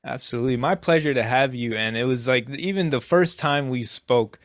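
Guy hosting a podcast, earnest and grateful, dry close sound, pretty average pitch. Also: fairly bright tone, no echo. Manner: earnest, appreciative, halting with restarts. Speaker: podcast host. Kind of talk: interview hosting.

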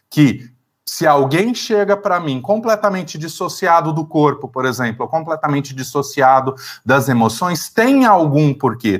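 A man, a therapist, explaining at length in conversation, pausing often to search for words. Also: on the high side, breathy edge. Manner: thoughtful, searching for words, frequent fillers.

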